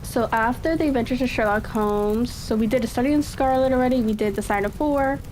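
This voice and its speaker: Higher-voiced woman in casual stream chat. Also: a little mellow, slight background noise, low-quality recording. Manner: unhurried, musing aloud to self. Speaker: streamer and host of a reading session.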